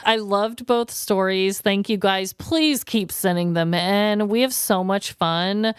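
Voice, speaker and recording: A woman co-hosting a podcast in casual comedic chat, with a playful, teasing and animated way of talking. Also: mid-range voice, slow pace, smooth, no echo, studio quality. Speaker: podcast co-host.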